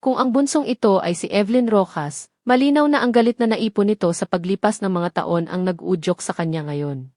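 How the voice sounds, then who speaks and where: A woman's voice: mid-range voice, brisk, a little mellow, dry recording. Filipino woman narrating a drama, dry close sound. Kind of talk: scripted dramatic story narration.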